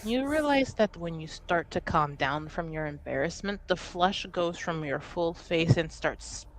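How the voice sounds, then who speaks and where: Woman, tabletop roleplayer. Unhurried, very animated delivery, halting and giggly. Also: on the low side, slight background noise, rough recording. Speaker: player in a tabletop roleplaying game. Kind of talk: improvised in-character roleplay and narration.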